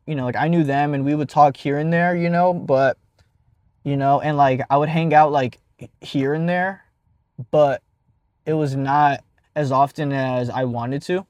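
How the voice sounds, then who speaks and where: A man's voice: a little high, fairly even intonation, moderate pace, darkish tone, no echo, studio quality. A young man with a stutter telling a casual personal story as a vlogger, with many fillers and restarts.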